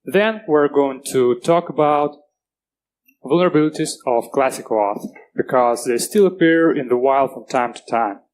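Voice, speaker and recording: A man's voice: medium pitch, darkish tone, rough recording. Russian-accented man giving a security talk. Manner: earnest, plain-spoken, halting restarts. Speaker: conference speaker. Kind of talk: technical conference talk. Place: conference stage.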